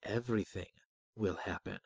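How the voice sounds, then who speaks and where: A man voicing a calm, cryptic disembodied spirit in a fantasy story, soft and measured as he speaks in riddles. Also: lowish voice, creaky, husky, dry recording. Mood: solemn, guiding. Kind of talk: fantasy audiobook character.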